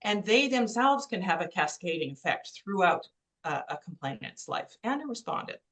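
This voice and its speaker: A woman from Canada giving an earnest, emphatic webinar talk, stressing key points with pauses. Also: medium pitch, very animated, a bit bright. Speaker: webinar presenter. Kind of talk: prepared presentation.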